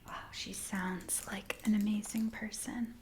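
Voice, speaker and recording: Female ASMR roleplayer as a biographer: whispered, slow delivery, gentle and attentive, one-to-one with the listener. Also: medium pitch, little pitch movement. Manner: gentle, attentive, unhurried, speaking directly to the listener as a client.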